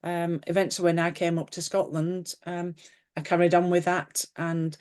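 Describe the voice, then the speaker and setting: A woman talks casually as an interview guest over a video call. Her voice sits on the low side, and she rambles warmly, full of fillers and restarts. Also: flat delivery, normal speed, smooth. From Yorkshire, England. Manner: chatty and warm, many fillers and restarts. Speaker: author guest.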